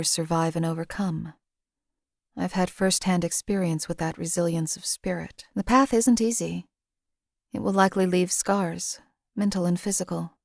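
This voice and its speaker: A woman's voice: lowish voice, a little mellow, dry recording, studio quality, close to an everyday voice. A woman voicing a cold, contemptuous hired assassin in a game, speaking in a formal, clipped, disdainful way. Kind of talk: game character voice lines.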